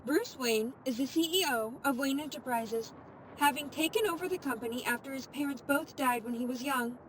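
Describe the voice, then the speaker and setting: Woman doing a polite ai assistant lady, high pitched, matter of fact, bit of noise behind her. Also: normal speed, fairly bright tone, rough recording. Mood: calm, informative. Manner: precise, formal, matter-of-fact. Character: composed, informative female AI assistant. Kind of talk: audio fiction dialogue.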